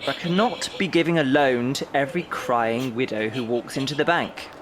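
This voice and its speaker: A man's voice: medium pitch, moderate pace, lightly acted. Curt Victorian banker played by a man, crowd behind. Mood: impatient, dismissive. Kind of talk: audio drama acting. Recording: busy crowd murmur behind.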